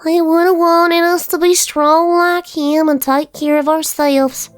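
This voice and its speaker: A woman's voice: extremely high pitch, flat delivery, unhurried, no room sound, clean studio sound, soft background music. A woman voicing a meek, sad little girl pony, a younger sister with a small, hesitant childlike voice in a dramatic story reading. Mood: subdued, sad. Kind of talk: dramatic fan fiction reading.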